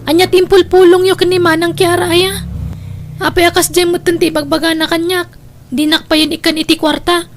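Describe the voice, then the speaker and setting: A woman's voice: very high voice, flat delivery, normal speed, a little hiss or hum. A woman playing a demanding, resentful grown daughter in an Ilocano family radio drama, complaining as she speaks. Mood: accusing. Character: demanding, resentful grown daughter who leans on her sister.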